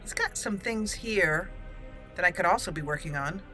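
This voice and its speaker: Bright-voiced woman hosting a game playthrough. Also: lowish voice, animated, normal speed. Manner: playful, chatty, thinking aloud. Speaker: gaming channel host. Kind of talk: casual roleplay game commentary. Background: game music.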